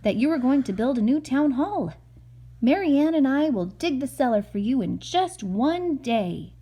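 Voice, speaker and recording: A woman's voice: sits high, expressive, moderate pace, mellow, husky, voice pushed up, heavily acted. A woman voicing a confident, boastful steam-shovel operator from a children's storybook, with eager emphasis.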